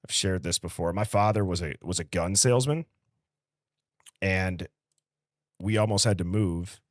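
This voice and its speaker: A man's voice: deep voice, a little slow, no echo, studio quality. An American man in his early thirties hosting a livestream, chatting casually, reading out viewer comments and drifting into conversational asides. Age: early 30s. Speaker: livestream host.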